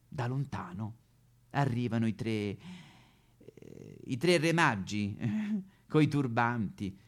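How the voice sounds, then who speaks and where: A man playing an aggrieved, neglected female Madonna statue in a satirical stage monologue, plaintive and melodramatic with a Roman accent. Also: mid-range voice. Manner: melodramatic, plaintive, sudden swoops into wailing. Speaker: comic actor.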